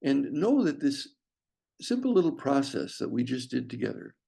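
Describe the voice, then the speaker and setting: A man leading a guided meditation as a breathwork teacher, speaking gently in short phrases with long pauses and a gravelly, husky voice. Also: medium pitch, big pitch swings. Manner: slow, pausing delivery in short phrases.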